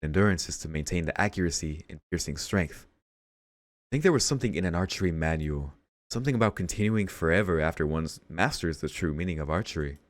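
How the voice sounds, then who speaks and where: A man's voice: deep voice, expressive, fast, no echo. A man hosting a let's read, reading visual novel narration aloud and dropping in casual asides. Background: game music.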